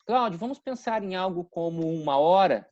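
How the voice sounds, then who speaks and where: A man's voice: high voice, animated, fairly quick, crowd noise. A man hosting an online academic session, opening it with a formal, gracious welcome. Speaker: host and professor. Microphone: video call. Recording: dry, dull lo-fi sound.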